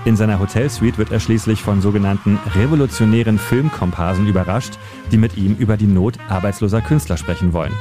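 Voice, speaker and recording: A man narrating a scripted history feature for radio in a measured storytelling manner. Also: on the low side. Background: instrumental music bed.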